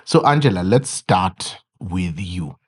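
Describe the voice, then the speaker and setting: Deep husky-voiced podcast host. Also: male voice, animated. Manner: measured, encouraging, frequent backchannel hums. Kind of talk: podcast interview.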